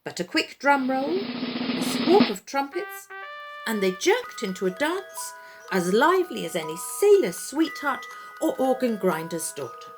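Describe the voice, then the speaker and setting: A woman storyteller with a fairly high, very animated voice narrates a storybook tale. She takes her time, shaping phrases dramatically with deliberate pauses, over music. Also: poor audio.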